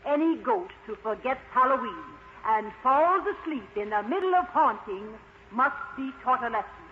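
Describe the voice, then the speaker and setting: Man putting on a high stern lady ghost teacher. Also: animated. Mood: stern, scolding. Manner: strict, unforgiving, deliberate. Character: stern female ghost schoolteacher scolding a pupil. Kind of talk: storybook character voice in a puppet show. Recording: muffled lo-fi recording, faint noise.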